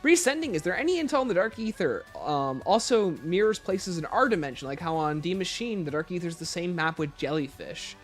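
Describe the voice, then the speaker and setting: Animated man hosting a casual livestream. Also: high-pitched, a little fast, few pauses, soft background music. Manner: informal, thinks aloud. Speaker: livestream host. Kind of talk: casual livestream Q&A, reading out and answering chat questions.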